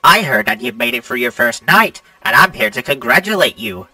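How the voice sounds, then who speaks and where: A self-satisfied robot explorer acted by a man, very bright and animated, a little off the mic. He delivers game lines with showy confidence. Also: mid-range voice, moderate pace, no echo, distant mic, exaggerated voice. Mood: cheery, smug. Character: self-assured male animatronic explorer greeting the night guard. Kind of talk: video game character voice lines.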